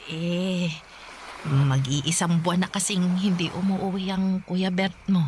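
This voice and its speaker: A woman playing a poor, protective old grandmother in a Tagalog radio drama, halting and scolding as she talks. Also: fairly low pitch, level tone, darkish tone, a little hiss or hum, natural voice. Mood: worried, reluctant. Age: older.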